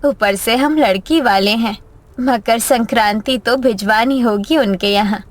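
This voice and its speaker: A careworn mother from a cartoon moral tale, played by a woman. Her voice is on the high side and very slow. She sounds plaintive, earnest and worried about her household. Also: no room sound, lightly acted. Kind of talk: Hindi moral-story cartoon dubbing.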